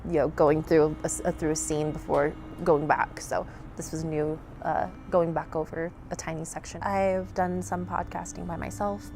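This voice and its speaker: A woman's voice: deep voice, creaky, darkish tone. A woman who does voice acting, talking casually in a documentary interview. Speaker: voice actor.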